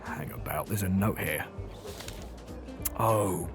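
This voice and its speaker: An actor playing a fussy, chatty British camera shop clerk in a scripted audio drama, with formal shopkeeper patter. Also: male voice, deep voice, takes it slowly, gravelly, full character voice. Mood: muttering, exasperated. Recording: music behind the voice.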